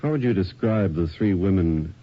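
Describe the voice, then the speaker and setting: A persistent police investigator in a 1980s radio drama. His voice is low and raspy, his delivery formal and measured. The recording sounds a little dull. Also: lively intonation. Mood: probing, measured. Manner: short, probing, measured questions.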